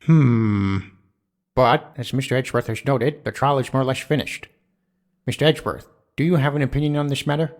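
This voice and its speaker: A man's voice: medium pitch, fairly quick, natural voice. A man acting an authoritative older courtroom judge in a video game drama, formal and musing. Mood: measured. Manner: formal, musing, hesitant hums. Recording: music clearly under the voice.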